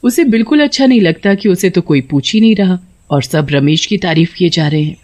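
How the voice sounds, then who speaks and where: A man's voice: sits high, lively intonation, brisk, a little mellow. A man narrating a scripted story as a storyteller. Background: music.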